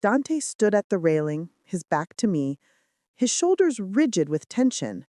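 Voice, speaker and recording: A woman's voice: medium pitch, sing-song, measured pace, warm tone, no room sound, clean studio sound. A woman reading scripted first-person romance fiction as an audiobook narrator, with dramatic, suspenseful delivery.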